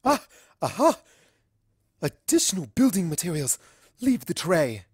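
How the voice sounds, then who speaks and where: A woman's voice: fairly low pitch, big pitch swings, a little slow, darkish tone, breathy edge, no room sound, clean studio sound, full character voice. A woman voicing a regal mother pony princess who is drunk, pompous and theatrical. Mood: tipsy, merry. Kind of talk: acted fan fiction dialogue.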